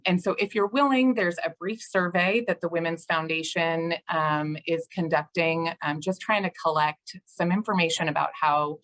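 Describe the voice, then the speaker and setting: Woman hosting a webinar, lowish voice. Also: fairly bright tone. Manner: friendly, many ums and uhs. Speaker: program officer and webinar host. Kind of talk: webinar introduction. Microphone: video call.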